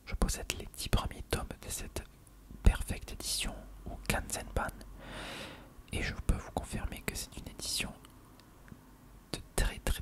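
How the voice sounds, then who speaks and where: Whispered ASMR reading voice. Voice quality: breathy, unvoiced whisper. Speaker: ASMR narrator.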